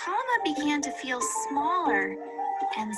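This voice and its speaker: A woman narrating a children's storybook in an expressive, scripted read-aloud style. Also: high voice, a little slow, over loud music.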